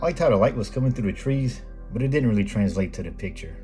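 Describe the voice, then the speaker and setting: Low-voiced American man narrating over music. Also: animated, fast talker, mellow. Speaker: video host and film photographer. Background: background music.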